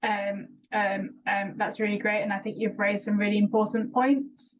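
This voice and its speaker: A young woman hosting an interview chat and speaking encouragingly to her guest. She stammers, repeats words and fills pauses with "um." Her pitch sits in the middle range, and the recording sounds dull and lo-fi. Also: little pitch movement. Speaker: interviewer and regular vlogger.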